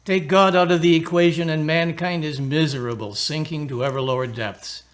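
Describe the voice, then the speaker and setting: Man playing an earnest, kindly priest, higher-pitched voice. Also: fairly even intonation, normal speed, close to an everyday voice. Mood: earnest preaching. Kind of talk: radio drama acting.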